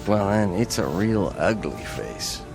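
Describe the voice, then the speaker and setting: An actor playing an easygoing, modest factory worker in a radio drama, with folksy, casual speech. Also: male voice, low-pitched, big pitch swings, takes it slowly, vocal fry, music in the background, heavily acted. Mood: skeptical, joking.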